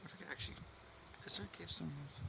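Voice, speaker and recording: A man's voice: on the high side, rapid-fire, gravelly, background noise. A man giving casual gameplay commentary, thinking aloud as he plays. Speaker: game streamer. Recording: game ambience behind the voice.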